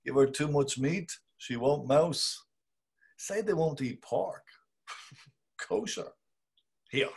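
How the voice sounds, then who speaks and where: Brief: older actor as a fussy husband thinking aloud, slow and husky, lively pitch, webcam. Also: male voice, full character voice. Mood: musing. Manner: clipped muttered thoughts, fond talk to the cat. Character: fussy middle-aged husband musing to himself. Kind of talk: dramatic reading over video call. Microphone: video call webcam.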